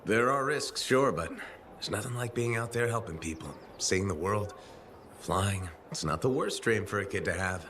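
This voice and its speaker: An actor playing an easygoing, dryly wry freelancer pilot in casual video game dialogue. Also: male voice, on the low side, animated, moderate pace, fairly bright tone, a little hiss or hum. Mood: friendly, reassuring.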